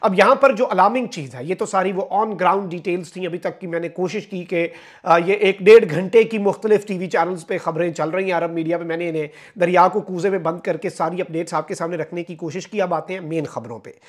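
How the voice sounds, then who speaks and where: A young man with a Pakistani Urdu accent and a fairly high voice delivers online news commentary. He reads through the updates at an even clip, then slips into asides to his viewers with little "uh" pauses. The sound is close and clean, from a lapel mic in a small indoor studio. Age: young adult.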